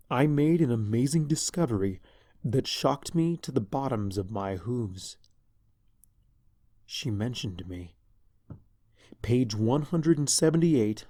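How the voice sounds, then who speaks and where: A lovestruck minion of a villain tells his own tale, read by a man. The voice is soft-edged and dark, recorded very dry. The delivery is dramatic and deliberate, like a narrated adventure romance. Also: medium pitch, no echo. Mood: admiring, dramatic. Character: lovestruck villain's pony henchman recounting his past. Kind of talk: first-person scripted story narration.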